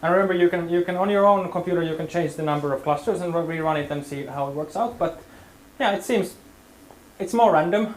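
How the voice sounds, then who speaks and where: Fairly high-voiced man teaching in an echoey room. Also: fast. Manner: casual, hedging, many fillers. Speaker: workshop instructor. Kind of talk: hands-on workshop tutorial.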